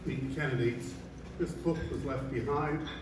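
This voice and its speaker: A man's voice: medium pitch, fairly even intonation, moderate pace, far from the mic, noisy background. Man moderating a meeting in an echoey hall. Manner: hesitant, many fillers. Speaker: chamber of commerce president moderating a candidates forum. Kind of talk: informal public meeting remarks. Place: large echoey hall, bar room. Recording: echoey hall recorded from afar. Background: room ambience.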